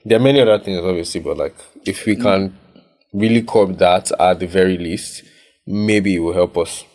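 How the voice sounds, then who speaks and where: Young Nigerian man, low voice, studio podcast co-host, easygoing and reflective, tags his points with "right?". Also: moderate pace, darkish tone. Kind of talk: casual podcast chat. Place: recording studio.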